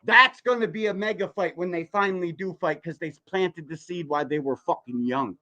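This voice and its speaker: A man in his forties with a fairly high voice, ranting on a livestream. He talks bluntly and emphatically, swearing freely and throwing out rhetorical questions. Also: fairly quick, pushed and bright. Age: 40s. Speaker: podcast host reacting to a video. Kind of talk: heated, profane livestream commentary.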